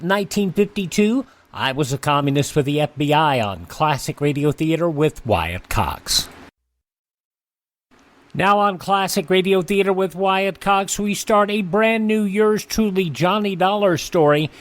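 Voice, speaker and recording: A man hosting an old-time radio program, announcing the next episode in a plain tone. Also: high voice. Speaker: radio host.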